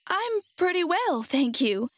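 A woman reader puts on a kind little girl from a children's storybook. The voice is high and earnest, with courteous, sympathetic phrasing. The sound is very dry. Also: big pitch swings, slow, no echo, heavily acted. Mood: polite, wondering. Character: polite, kind little farm girl from Kansas.

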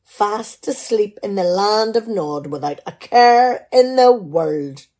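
A woman playing a nagging, exasperated, sharp-tongued middle-aged wife in a radio play. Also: mid-range voice, lively intonation, takes it slowly. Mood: exasperated ranting. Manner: exasperated, sarcastic scolding.

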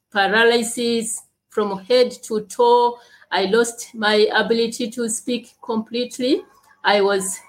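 A woman from Uganda, answering interview questions in slow, halting speech with long pauses. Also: mid-range voice, fairly bright tone, poor audio. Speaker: guest, leadership coach and speaker.

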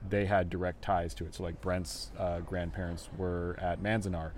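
A man, a film director, answering interview questions in a relaxed, rambling way full of fillers, recorded live at a festival venue. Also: sits low, moderate pace, slight background noise.

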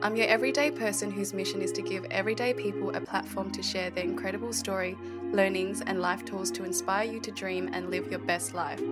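Woman podcast host. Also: medium pitch. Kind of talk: scripted podcast intro, then casual interview.

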